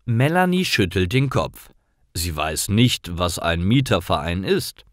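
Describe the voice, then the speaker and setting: A man reading simple scripted stories aloud in a clear, deliberate manner, as a storyteller for language learners. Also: low-pitched, sing-song, dry recording, clean studio sound.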